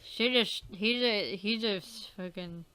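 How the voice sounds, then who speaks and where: A woman's voice: medium pitch, slow pace, pushed and bright, clear, resonant tone, dry recording, low-quality recording. A woman doing casual game commentary, rambling and swearing a lot. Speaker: let's player.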